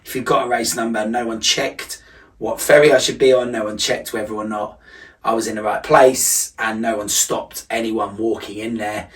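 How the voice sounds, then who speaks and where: A man's voice: fairly low pitch, little pitch movement, moderate pace, recorded from a distance. A man giving a casual vlog recap as a running coach, talking in a reflective, emphatic and conversational way.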